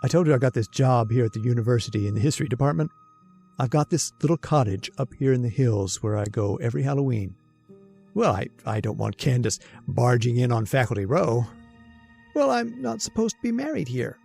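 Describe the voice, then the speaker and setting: Actor as jittery henpecked husband, animated, creaky voice. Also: male voice, medium pitch, fairly quick, faint music behind. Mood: weary, confiding. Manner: stammering, confiding, plaintive. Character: henpecked, jittery immortal husband, wry confiding storyteller. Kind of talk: old-time radio horror-comedy acting, first-person narration.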